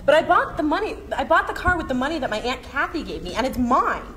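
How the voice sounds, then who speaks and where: A woman's voice: high voice, very animated, fast talker. A woman reading the part of a blunt, brash, rebellious teenage girl at a table read. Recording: noisy room recording.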